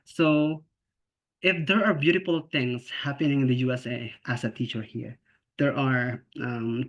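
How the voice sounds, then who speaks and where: A man from the Philippines with a Filipino accent, a special education teacher giving an earnest, informal talk on a video call, often checking in with "okay?" and "right?". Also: medium pitch. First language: not English. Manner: earnest, repeats and restarts words, asks "okay?" and "right?" often. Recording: slightly dull lo-fi video call audio.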